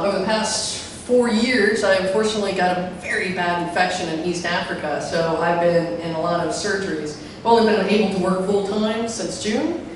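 A middle-aged woman with a deep voice gives a chatty talk on a handheld mic, filling her speech with fillers and putting questions to the audience. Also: a little hiss or hum. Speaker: conference speaker. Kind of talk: live tech talk to an audience. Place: large hall with a projector screen. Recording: echoey hall from far off.

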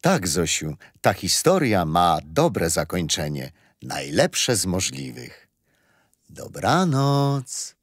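An actor playing a patient, gentle grandfather telling children a history story in a radio play. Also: sits low, very animated, slow, gravelly, breathy edge, no room sound, studio quality, exaggerated voice. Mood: reassuring. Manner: gentle, didactic.

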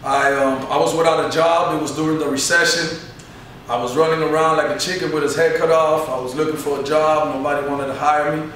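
A man's voice: a little high, steady tone, brisk, fairly bright tone. A man in his thirties talks in an earnest, motivational way, leaning on "you know" as he answers interview questions. He is heard through a camera mic some distance away in a barbershop that rings with echo, and faint room noise sits underneath. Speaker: barbershop owner. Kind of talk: sit-down interview. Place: barbershop, indoors. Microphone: camera mic from across the room. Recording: echoey room, mic far off.

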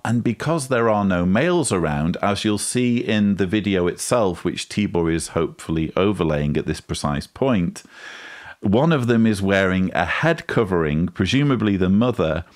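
Casting: male commentator, forties, very deep voice, measured explainer delivery, close studio mic. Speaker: host, commentator. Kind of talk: critical video commentary to camera. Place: small indoor room with bookshelves. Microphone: studio mic on boom arm, close.

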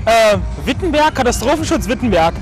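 A young man reporting casually and loudly from a festival, joking informally into a handheld microphone. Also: extremely high pitch, sing-song, fairly quick. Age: young adult. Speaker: event reporter. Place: outdoors at a night festival. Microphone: handheld microphone with foam windscreen. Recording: loud music and crowd noise behind.

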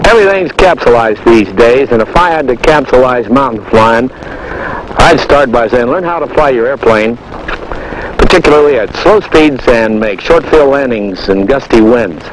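A man's voice: medium pitch, expressive, moderate pace, background noise. An American man giving informal, folksy instructional advice as an experienced pilot, on an old 1960s training film soundtrack.

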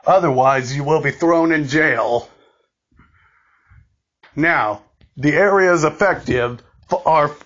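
Male alert announcer, slightly high voice, formal and deliberate with stumbles, muffled lo-fi sound. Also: a bit bright, slightly rough. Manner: formal, deliberate, halting with repeated words. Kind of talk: scripted emergency broadcast announcement, amateur reading.

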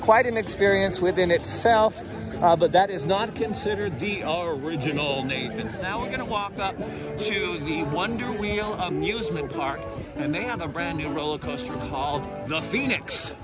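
A man narrating a walking tour outdoors on a busy seaside boardwalk, in an informative tour-guide manner with drawn-out emphasis, recorded on a handheld camera mic as he walks. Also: high voice, loud music behind the voice. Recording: outdoor street and crowd noise.

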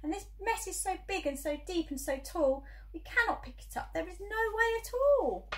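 Woman voicing a high, fretful storybook fish. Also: animated, normal speed. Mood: worried. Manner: urgent, exclaiming, repeating warnings. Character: fussy, frightened, scolding pet fish.

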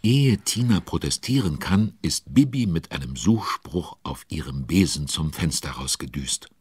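A man's voice: a bass voice, animated, measured pace, a little mellow, breathy edge, no room sound, clean studio sound, heavily acted. A man playing a chatty, nosy storyteller, narrating a children's audio drama and speaking directly to the listeners. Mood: storytelling. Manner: conversational, addresses the listeners.

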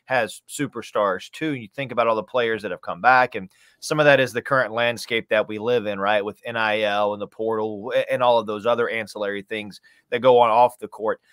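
A man's voice: fairly low pitch, steady tone, brisk, fairly bright tone, smooth, dry recording. A man in his thirties, a sports announcer, chatting casually as an interview guest with conversational emphasis. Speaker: radio play-by-play announcer as guest. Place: small room, indoors, video call.

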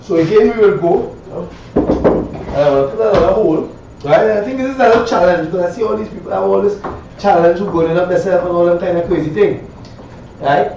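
A Caribbean man with a slightly high voice talks through a hands-on demonstration in a loose, repetitive way, often tagging phrases with a questioning check. The sound is roomy and a little dull, picked up by a camera some distance away. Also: a little fast. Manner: rambling, repeats phrases, frequent 'right?' tags. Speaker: woodworker demonstrating hand tools. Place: small cluttered indoor woodwork shop. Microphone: camera a few metres away. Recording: echoey room, distant mic, dull, faint noise.

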